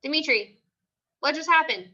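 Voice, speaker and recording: Bright, high-voiced woman teacher. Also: lo-fi sound. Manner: prompting, repeats questions and answers slowly for pupils. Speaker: teacher leading a class read-aloud. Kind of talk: interactive classroom lesson, question and answer.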